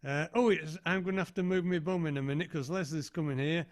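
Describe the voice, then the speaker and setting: A man casually hosting a video call session in a halting, informal way. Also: fairly high pitch, fairly even intonation. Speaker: session host.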